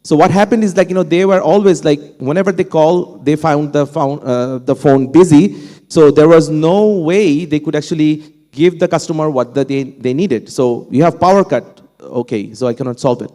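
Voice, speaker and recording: A man from Bangladesh, a native Bangla speaker with a Bangladeshi accent, speaking on a panel in a halting way, with many fillers and restarts. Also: on the high side, warm tone. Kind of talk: unscripted panel talk. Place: conference hall. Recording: long hall reverb.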